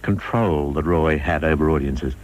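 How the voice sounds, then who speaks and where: A man's voice: rumbling low, normal speed. A man presenting a documentary tribute in narration.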